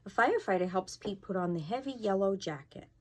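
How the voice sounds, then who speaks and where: A woman's voice: medium pitch, animated, brisk, darkish tone, slight background noise. A woman fire inspector reading a storybook aloud to children, expressively, with casual asides and questions to her young listeners.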